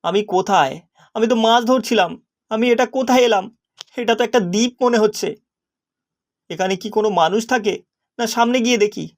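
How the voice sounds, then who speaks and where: A man plays a discontented young fisherman in a cartoon fable. His voice sits high for a male. He sounds naive and earnest, with a plaintive way of talking. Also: a little fast, pauses often. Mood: bewildered. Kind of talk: animated story character acting.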